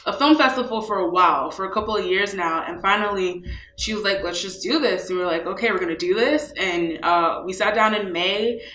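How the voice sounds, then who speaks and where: Young woman, from New York, bright tone, chatty with fillers, echoey room, interview. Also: mid-range voice, brisk, a little hiss or hum. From New York, USA. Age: young adult. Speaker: film festival co-founder. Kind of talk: casual interview.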